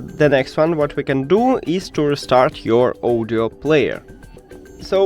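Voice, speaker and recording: A man walks through a how-to in a mid-pitched voice that rises and falls a lot. His delivery is hesitant and full of filler sounds, with simplified non-native English phrasing. Music plays behind him. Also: a little mellow. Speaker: tech tutorial presenter. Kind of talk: unscripted step-by-step how-to narration.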